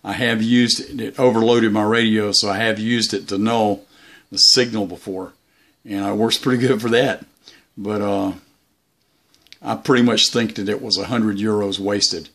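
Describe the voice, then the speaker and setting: A man talking casually as a hobbyist vlogger, halting and unhurried as he explains things. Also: fairly low pitch, steady tone, a bit bright. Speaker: shortwave radio hobbyist vlogger. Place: indoors, small room beside radio gear.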